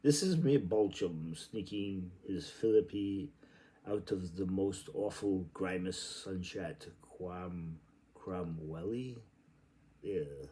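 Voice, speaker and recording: A man's voice: deep voice, takes it slowly, dark tone, slight background noise. A man reading literary prose aloud in a theatrical, playful and exclamatory way. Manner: playful, exclamatory delivery with sudden outbursts. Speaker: reader.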